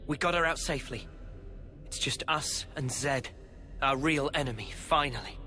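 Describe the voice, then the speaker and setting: An actor playing an earnest young male hero in a video game, gentle but resolute, with a sincere, measured delivery. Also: medium pitch, natural voice. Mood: solemn. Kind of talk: video game cutscene voice acting.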